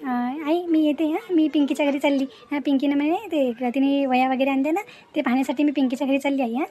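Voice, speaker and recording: A woman plays a selfless, sensible little daughter with a high, quick voice. She pleads earnestly in a Varhadi Marathi accent, like dialogue in a simple cartoon moral tale. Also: fairly even intonation, dark tone, slight background noise, lightly acted. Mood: gentle pleading. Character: selfless, sensible young daughter of poor family.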